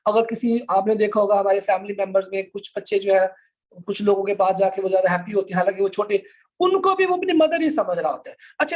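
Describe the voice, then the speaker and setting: A man with a Pakistani accent lecturing informally to a class, explaining step by step and repeating his points. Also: extremely high pitch. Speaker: law lecturer.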